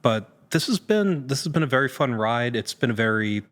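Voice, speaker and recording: A man of average pitch speaks as an actor guesting on a podcast. He talks casually and thoughtfully, feeling his way through his phrases. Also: lively intonation, a little fast, a bit bright.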